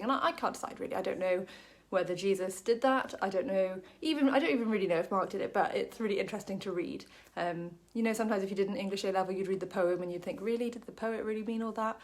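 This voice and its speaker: A woman from Wales talks through a short Bible passage in a relaxed, chatty way. She fills her sentences with ums and drops in small jokes about herself. Her voice sits at an ordinary middle pitch. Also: fast. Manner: chatty, many ums, self-deprecating jokes. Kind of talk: casual Bible study talk to camera.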